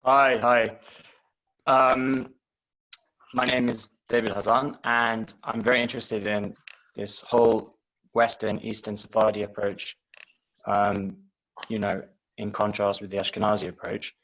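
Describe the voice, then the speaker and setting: Man, slightly low voice, rambling and hesitant, video call. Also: level tone, unhurried, a bit bright, poor audio. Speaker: class participant. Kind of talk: casual introduction and question.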